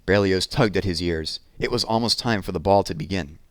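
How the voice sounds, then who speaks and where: A man's voice: low voice, brisk, no room sound. A man narrating a children's picture book as a read-aloud. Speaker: storybook reader.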